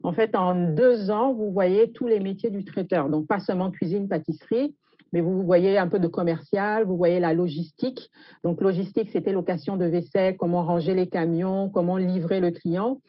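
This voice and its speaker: A woman from Gabon speaking as a guest chef, recounting her background in an interview with drawn-out hesitations. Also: lowish voice, fairly even intonation, no room sound. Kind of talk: autobiographical account in an interview. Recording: muffled lo-fi audio.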